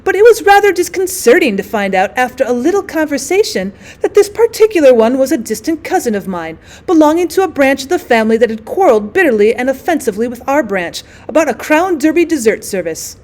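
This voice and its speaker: A woman reading as a haughty, animated society lady. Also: sits high, quick pace, hardly any pauses. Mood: indignant. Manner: wordy, haughty, emphatic storytelling. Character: genteel, indignant, drily witty woman recounting colonial mishaps.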